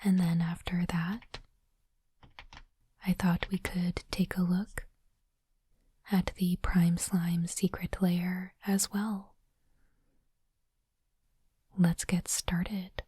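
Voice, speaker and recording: A woman whispering in a breathy, unvoiced voice, slow and deliberate, in the style of an ASMR host. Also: on the low side, flat delivery, dry recording, studio quality. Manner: slow, deliberate, softly reading aloud. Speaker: host of an ASMR unboxing channel.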